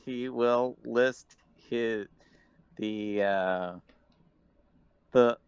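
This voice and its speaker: A man's voice: medium pitch, steady tone, drawn-out words, clear, resonant tone, no room sound. A man casually narrating what his character does in a tabletop game, in slightly dull, dry audio.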